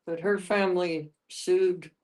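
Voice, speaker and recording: Female participant, low voice, casual and plain, filling in details. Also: steady tone, slow pace, a little mellow. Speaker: discussion participant. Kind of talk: casual chat.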